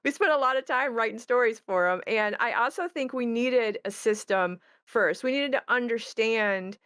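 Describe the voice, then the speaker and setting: A woman's voice: medium pitch, a bit bright, no echo, clean studio sound. A woman who creates tabletop games, talking casually and thoughtfully as she explains her work. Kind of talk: casual panel chat.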